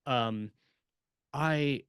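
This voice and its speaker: A man co-hosting a podcast in casual chat, thinking aloud in a halting, tentative way. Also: mid-range voice, a bit bright, clean tone, no room sound, studio quality. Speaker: podcast co-host, science fiction author. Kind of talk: casual chat about writing craft.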